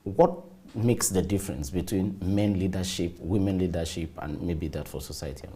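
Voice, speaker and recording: A man's voice: low voice, moderate pace, warm tone. A man interviewing a guest, asking long, formally framed questions.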